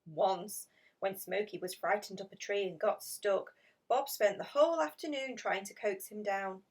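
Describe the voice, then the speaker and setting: Husky woman reading a storybook, distant mic. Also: mid-range voice, normal speed. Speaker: storybook reader. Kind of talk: children's picture book read aloud.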